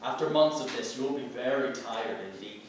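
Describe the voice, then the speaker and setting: An actor playing a stern, self-righteous Puritan man in a staged play reading, formal, deliberate and bitterly moralizing. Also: mid-range voice, close to an everyday voice. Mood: cold threat. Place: stage in a theatre. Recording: roomy far-miked theatre, faint noise.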